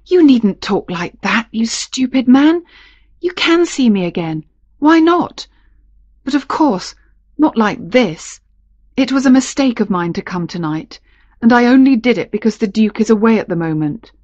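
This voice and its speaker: A refined duchess from a storybook romance, voiced by a woman narrator. She is proud and reluctant yet fond, protesting in a raised pitch. The recording is dry and a touch dull. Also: expressive, measured pace, a little mellow, dry recording. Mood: scolding, fond.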